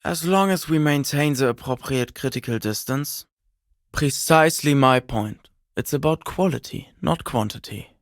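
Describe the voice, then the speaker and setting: An actor voicing an earnest, pedantic young communist intellectual for a video game, bookish, precise and self-serious. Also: male voice, fairly high pitch, normal speed, dry recording, clean studio sound, close to an everyday voice. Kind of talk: video game character voice lines.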